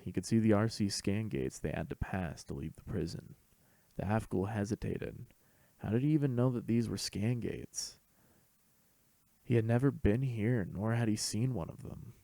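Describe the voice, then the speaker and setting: A man's voice: fairly low pitch, vocal fry, warm tone, dry recording. A man reading scripted fan fiction as the narrator of an audio story.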